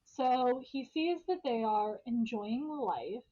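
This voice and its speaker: A woman's voice: a little high, animated, slow pace, mellow, lo-fi sound. A woman hosting a podcast, retelling a story in a casual, irreverent way with slang and swearing. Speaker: podcast host telling a story.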